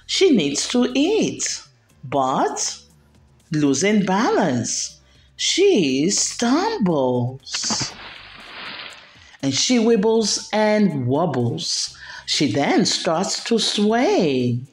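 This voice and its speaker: A woman reading a children's picture book aloud, expressively and with deliberate enunciation. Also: medium pitch, wildly swooping pitch. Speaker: storyteller. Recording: roomy echo, background music. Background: music.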